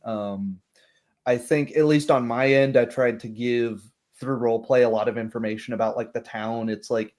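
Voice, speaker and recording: A man's voice: medium pitch, steady tone, normal speed, a little mellow. A man speaking as a game master, reflecting casually and hesitantly after a tabletop session. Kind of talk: casual post-game discussion.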